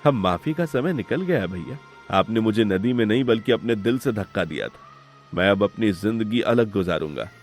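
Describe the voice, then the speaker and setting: A man's voice: lowish voice, expressive, moderate pace, soft background music. Man voicing a hurt, principled younger brother. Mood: sad, resolute. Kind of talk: melodramatic Hindi moral-story cartoon acting.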